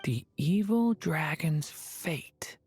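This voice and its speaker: A young boy dragon in a video game, gentle and earnest. The delivery is soft and thoughtful, with faint music behind. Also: mid-range voice, animated, extremely slow. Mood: pensive, earnest.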